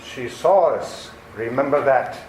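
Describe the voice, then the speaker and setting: A man's voice: medium pitch, a little mellow, lightly acted. A man playing a sinister, cruel criminal brother in a radio play, with a threatening manner. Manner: slow, threatening delivery. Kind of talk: old-time radio play acting. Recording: roomy, echoing recording with faint noise.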